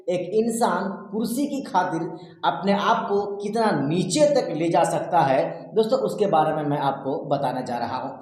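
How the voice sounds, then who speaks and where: A man's voice: high-pitched, darkish tone. A man presenting political news commentary to viewers, with an emphatic, repetitive way of addressing them directly. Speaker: YouTube news commentator and host. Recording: very echoey, reverberant sound.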